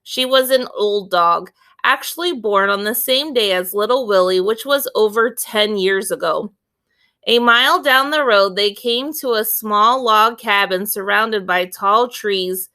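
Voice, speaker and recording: Bright, unhurried woman reading a storybook. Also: medium pitch, clean tone. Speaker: read-aloud storyteller. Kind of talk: expressive children's book narration.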